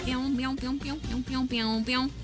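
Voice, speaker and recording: A woman's voice: sits high, fairly even intonation, measured pace, over loud music. A woman streamer chatting casually while reacting to clips, swearing and laughing, with a mixed accent. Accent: mixed online accent. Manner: loose, swearing, laughs often.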